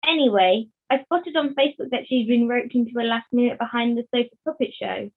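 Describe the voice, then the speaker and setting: A woman's voice: on the high side, a little fast. A young woman acting a chatty, gossipy puppet in an amateur online puppet show. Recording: thin phone-like video-call line.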